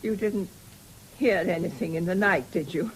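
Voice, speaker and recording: An actress playing a querulous, suspicious and frightened old invalid mistress in a radio drama, with an imperious, fretful manner. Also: female voice, lowish voice, moderate pace, a little hiss or hum, lightly acted, poor audio. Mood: fearful, clinging. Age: older. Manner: imperious, fretful, muttering and exclaiming. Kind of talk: 1970s radio drama acting.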